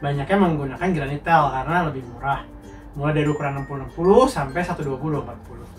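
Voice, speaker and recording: A man's voice: a little high, rapid-fire. A young man with a congested, nasal voice from a cold, casually answering viewers' questions about building materials as a contractor. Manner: slangy, explanatory, reads out viewer questions. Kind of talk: casual Q&A monologue. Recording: roomy, mic at a distance, faint music.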